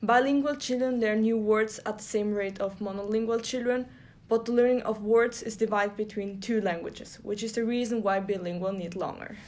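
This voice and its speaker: Soft-spoken young woman from Italy. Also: mid-range voice, steady tone, normal speed, a little hiss or hum. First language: Italian and German. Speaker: podcast co-host. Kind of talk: reading prepared notes.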